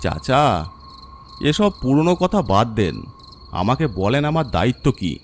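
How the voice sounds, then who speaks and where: A man's voice: mid-range voice, big pitch swings, measured pace, a little hiss or hum. A man voicing a weary, needy graveyard guard of about fifty in a horror story. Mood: impatient.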